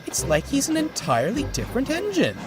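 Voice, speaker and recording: A man voicing a kindly female engine in a high, light, chirpy voice. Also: huge pitch swings, fairly quick, full character voice. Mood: bewildered. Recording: music playing behind.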